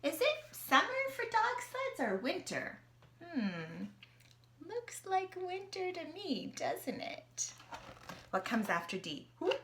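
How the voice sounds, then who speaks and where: Woman storyteller from Canada, high bright voice, slow and lively, reads to a little one. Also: slight background noise. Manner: animated, questioning the listener, gasping at pictures. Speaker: storyteller reading to a child. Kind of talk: children's story reading with sing-along.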